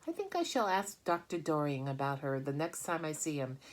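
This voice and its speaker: A woman voicing a concerned mother in a children's storybook, worried, polite and gently questioning. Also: deep voice, very animated, normal speed.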